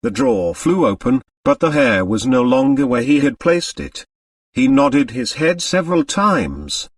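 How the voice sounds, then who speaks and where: A male audiobook narrator reads literary prose with a wide, animated pitch range. His voice sits at a middle pitch, in a dry recording slightly away from the mic. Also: measured pace, no room sound, distant mic.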